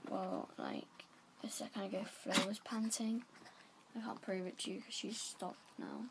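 A teenage girl with a croaky voice, chatting rambly into a phone held at arm's length, saying "like" a lot. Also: mid-range voice, fairly even intonation, measured pace, whispered. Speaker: vlogger. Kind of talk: casual vlog. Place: bedroom, then back garden.

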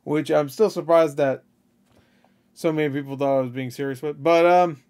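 A man's voice: a little high, fairly even intonation, normal speed, a little mellow. A man in his thirties giving off-the-cuff reaction commentary, rambling and joking with crude slang. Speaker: reaction video host. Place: small indoor room at home.

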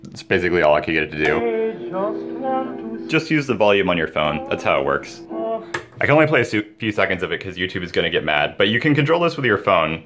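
A man's voice: sits low, wildly swooping pitch, fairly quick, a bit bright, faint music behind. A man talking casually and enthusiastically to viewers as he hosts a hobby project vlog, recorded indoors at home. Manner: informal, enthusiastic. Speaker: DIY video maker, host. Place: indoors, small home room.

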